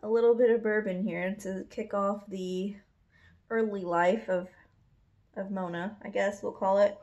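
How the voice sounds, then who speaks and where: A woman tells a personal story straight to camera. Her voice sits at an ordinary middle pitch. She talks loosely and wanders, packing her sentences with "like" and filler words. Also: steady tone, unhurried, darkish tone, muffled recording. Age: 30s. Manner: rambling, many "like" fillers, laughs a lot. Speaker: vlogger.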